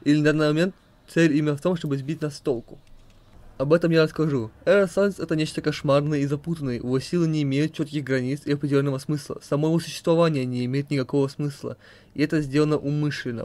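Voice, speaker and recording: A man's voice: a little high, steady tone, fast talker, darkish tone. A man reading questions and explanations aloud as narrator of an amateur comic dub.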